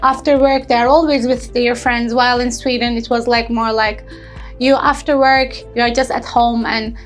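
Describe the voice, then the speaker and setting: A young woman from Turkey, speaking in a personal testimonial interview as an intern and entrepreneur. She talks earnestly, often pausing with fillers and restarting sentences as she searches for words. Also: fairly high pitch, flat delivery, faint music behind.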